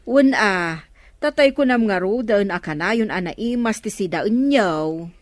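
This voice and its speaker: A woman's voice: medium pitch, animated, unhurried, no echo, clean studio sound, natural voice. A woman with an Ilocano accent playing a chatty, teasing village neighbor in a radio comedy drama.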